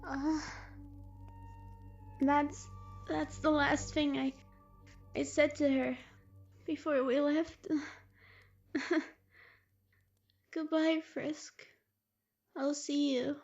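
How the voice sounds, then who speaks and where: Woman as young monster boy, high voice, loyal and excitable, slangy, audio drama, slightly lo-fi recording. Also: extremely slow, long pauses, exaggerated voice. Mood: weak, resigned. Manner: casual slangy youthful speech. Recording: dull lo-fi sound. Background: background music.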